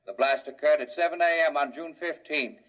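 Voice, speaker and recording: A man playing a calm, menacing male scientist villain in a 1950s radio drama, old dull recording. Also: fairly high pitch, a little fast, close to an everyday voice. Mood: condescending.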